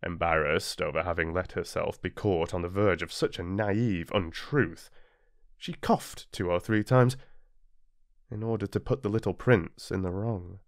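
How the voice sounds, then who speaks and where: A man's voice: sits low, measured pace, no echo, studio quality. A British man narrating a children's storybook expressively, as for an audiobook. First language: English.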